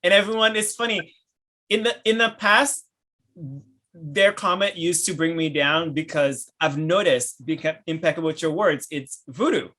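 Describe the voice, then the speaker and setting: A man with a Haitian accent hosting a casual podcast chat, enthusiastic and laughing often, with halting restarts. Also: fairly high pitch, pushed and bright. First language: French and Haitian Creole. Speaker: podcast host.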